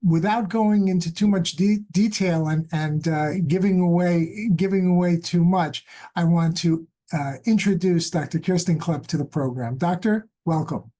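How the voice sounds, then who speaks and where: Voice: medium pitch, normal speed, few pauses, warm tone. A podcast host introducing a guest and asking long, rambling questions with many fillers. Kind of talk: interview hosting.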